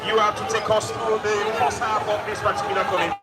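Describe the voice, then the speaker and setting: A man's voice: sits high, flat delivery, fast talker, very noisy. A man with a Sierra Leonean accent giving live football commentary in emphatic, repetitive phrases. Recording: distant mic in loud crowd. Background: loud crowd at outdoor ground.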